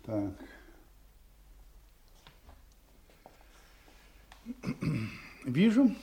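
An actor playing a shrewd, ironic gentleman detective in a radio drama, speaking in a wry, deliberate, mock-humble way. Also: medium pitch, wildly swooping pitch, exaggerated voice. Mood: dry, attentive. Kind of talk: theatrical radio drama acting in a detective play.

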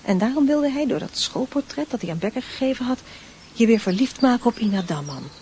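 A woman playing a gentle, sensible middle-aged mother of a teenage boy in a radio drama, calm and advising. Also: fairly high pitch, a little fast, a little hiss or hum, lightly acted. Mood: reasoning, probing.

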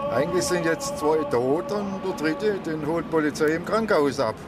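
An actor playing a grumbling provincial police chief with a Swabian accent in a radio drama. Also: male voice, a little high, expressive, unhurried, over loud music. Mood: matter-of-fact.

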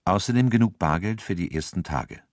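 Deep-voiced male narrator, dry sound. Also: lively intonation, fairly quick, no echo. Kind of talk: scripted radio drama narration.

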